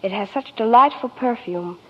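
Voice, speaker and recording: A woman's voice: a little high, moderate pace. Young woman, muffled vintage radio sound. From New York, United States. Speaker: guest actress in a sponsor spot. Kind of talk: scripted 1940s radio interview and advertisement.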